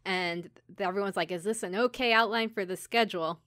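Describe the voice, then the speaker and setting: A woman's voice: medium pitch, fairly quick, a bit bright, no room sound, clean studio sound. A woman hosting a podcast, chatting casually and acting out bits as she recaps a show. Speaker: podcast host. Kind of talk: casual chat recapping an anime.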